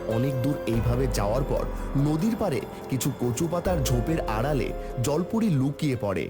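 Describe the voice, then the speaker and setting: A man narrating a fairy tale as a scripted storyteller. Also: mid-range voice.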